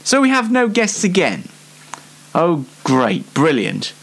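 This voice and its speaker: Male host, aged twenty, unhurried and very animated, playfully theatrical, self-deprecating comedic chat. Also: on the high side, gravelly. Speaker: host of a YouTube show. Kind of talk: chatty comedic monologue.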